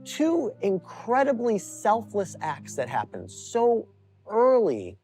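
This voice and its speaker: A woman's voice: medium pitch, wildly swooping pitch, takes it slowly, dark tone, faint music behind. A woman interviewing someone for a news feature.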